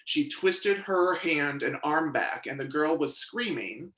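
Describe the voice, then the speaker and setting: Guy interpreting testimony at a hearing, kinda high voice, steady pace, roomy echo. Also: slow. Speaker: interpreter voicing a deaf witness's testimony. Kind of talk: first-person testimony at a public hearing.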